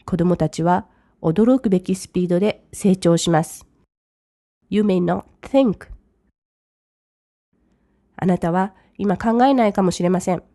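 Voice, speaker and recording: A woman who teaches English, reading each English line slowly and then translating it briskly. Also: fairly low pitch, mellow, dry recording, studio quality. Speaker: language teacher. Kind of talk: bilingual lesson commentary.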